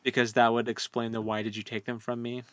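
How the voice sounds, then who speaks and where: A man co-hosting a casual book discussion, speaking in halting, informal phrases. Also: medium pitch, completely flat delivery, a bit bright, smooth, no room sound. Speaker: podcast co-host.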